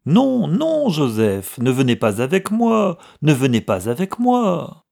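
A male narrator acting a timid old clerk from a storybook. He is husky and mild, pleading and agitated, with an anxious, gentle temper. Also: on the low side, steady tone, moderate pace. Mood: alarmed pleading. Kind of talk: audiobook character dialogue.

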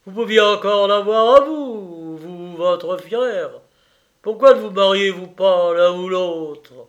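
A man's voice: high voice, slow, highs cut off, voice pushed up. A man voicing a proud, forthright colonel in a storybook reading, blustering and declaiming emphatically.

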